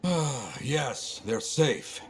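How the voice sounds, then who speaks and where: An actor playing an earnest, indignant ally in a video game, speaking in a grave, emphatic way. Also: male voice, mid-range voice, lively intonation, very slow, vocal fry, slight background noise, heavily acted. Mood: grave, concerned. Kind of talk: dramatic video game acting.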